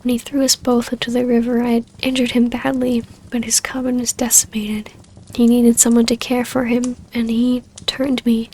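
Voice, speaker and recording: A woman acting a wistful, tender vampire baroness in an ASMR roleplay, speaking softly and haltingly in an intimate delivery. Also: a little high, flat delivery, slight background noise, poor audio.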